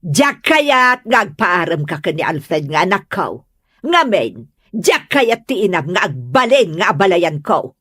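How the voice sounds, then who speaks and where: A woman acts as a proud, quarrelsome middle-aged mother in a comedy radio drama. She scolds bluntly and emphatically, with very animated pitch in a dry, close recording. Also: a little slow, husky, dry recording, exaggerated voice. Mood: disapproving. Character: disapproving, proud, quarrelsome mother of a grown son.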